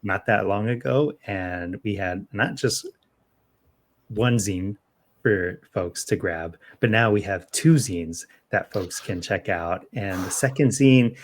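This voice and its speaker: Low-voiced man, casual podcast co-host. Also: slow pace. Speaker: local news podcast co-host. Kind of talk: casual chat.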